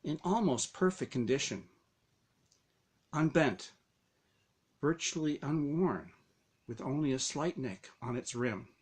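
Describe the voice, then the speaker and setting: Guy reading his own story out loud, really slow n deliberate, voice goes up and down a lot, kinda dramatic. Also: mid-range voice, animated, husky. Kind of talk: scripted first-person story narration.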